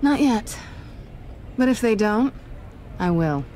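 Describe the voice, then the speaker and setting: A woman playing a sly, flirtatious, self-assured thief in a video game, with a teasing, sultry, deliberate delivery. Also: fairly high pitch, lively intonation, warm tone, a little hiss or hum. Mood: guarded, wry.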